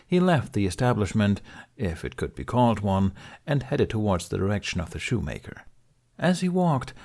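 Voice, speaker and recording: A man narrates an audiobook story from a script. His voice sits on the low side as he reads the prose. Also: warm tone.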